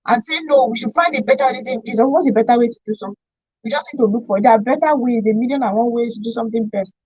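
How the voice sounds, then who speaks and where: A woman's voice: a little high, racing, a little mellow, breathy edge, dry recording, muffled recording. A young woman with a Nigerian accent talking informally on a radio discussion, explaining emphatically and often checking she is understood. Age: young adult. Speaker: radio show guest explaining a topic.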